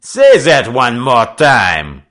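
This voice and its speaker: A man voicing a bullying, sly French sloop captain in an audiobook, with a French accent, shrill voice and broken, taunting English. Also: huge pitch swings, slow pace, fairly bright tone, heavily acted. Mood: menacing. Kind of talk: audiobook character acting.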